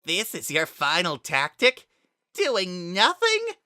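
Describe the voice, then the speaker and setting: A man's voice: high voice, huge pitch swings, slow pace, sharp tone, dry recording, clean studio sound, voice pushed up, heavily acted. A man voicing a menacing young demonic villain with a theatrical, sneering and coldly formal manner in a storybook reading. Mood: mocking, bitter.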